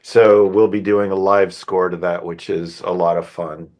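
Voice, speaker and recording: A middle-aged man from the United States, a composer chatting as a guest in an interview, relaxed and talkative with fillers and restarts. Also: low-pitched, normal speed. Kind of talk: casual interview chat.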